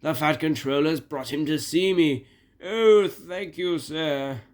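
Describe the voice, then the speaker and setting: A man's voice: fairly high pitch, slow pace, voice pushed up. A man voicing a proud, grumbling steam engine in a children's storybook, with a huffy, mournful and pompous manner. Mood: amazed, delighted.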